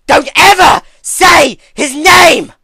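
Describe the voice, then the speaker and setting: A man's voice: very high voice, slow, gravelly, sharp tone, shouting, pitched above the performer's own voice, heavily acted. A man voicing an anguished, furious young female unicorn pony in a dramatic story reading, emotional and confrontational. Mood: screaming fury.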